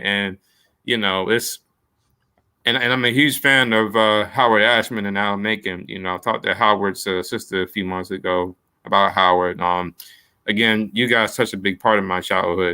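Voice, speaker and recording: A man's voice: on the low side, pushed and bright, smooth. A man hosting a podcast in casual interview chat, speaking in an informal, folksy and appreciative way. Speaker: podcast host.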